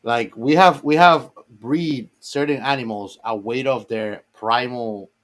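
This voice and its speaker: A man from the Dominican Republic speaks as a podcast panellist. His voice is of average pitch. He gives his views casually but emphatically, often repeating a phrase to press it home. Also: measured pace, fairly bright tone. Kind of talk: casual opinionated discussion.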